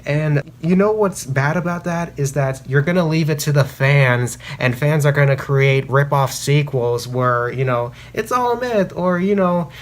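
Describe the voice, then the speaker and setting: A man's voice: a little high, measured pace, few pauses. A man hosting a review series, giving casual, opinionated commentary and emphatically repeating phrases for stress. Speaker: host of a fan fiction review series. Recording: clearly noisy amateur recording.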